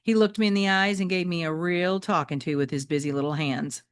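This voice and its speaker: A woman with a fairly low voice reads a children's story aloud like a teacher. Her phrasing is expressive and addressed directly to young listeners. The recording is dry, with little sense of a room. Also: fairly quick, smooth, no echo. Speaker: teacher reading aloud to students.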